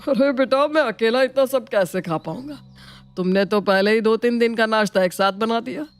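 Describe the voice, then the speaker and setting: A woman voicing an elderly, grateful uncle in an animated moral story, speaking affectionately and repeating words effusively. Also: on the high side, normal speed, soft background music, lightly acted. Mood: kindly protesting. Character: elderly, grateful, easily flattered village uncle.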